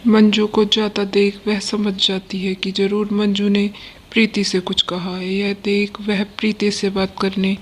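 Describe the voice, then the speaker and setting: A woman's voice: medium pitch, monotone, a little slow. Casting: female storyteller for cartoon moral tales, scripted narration, slight noise behind.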